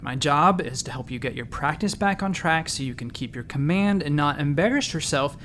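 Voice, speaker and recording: A man's voice: a little high, lively intonation, moderate pace, a bit bright, a little hiss or hum. An actor playing an earnest, eager doctor in his thirties, patient but a little awkward, in a sci-fi comedy audio drama. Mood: firm. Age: 30s. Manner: sincere, patient, slightly awkward. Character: earnest, eager thirty-four-year-old doctor, easily flustered.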